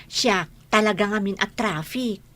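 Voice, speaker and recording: A woman's voice: mid-range voice, measured pace, fairly bright tone, lightly acted. A woman acting a sassy, defensive, catty young office worker in a radio comedy drama.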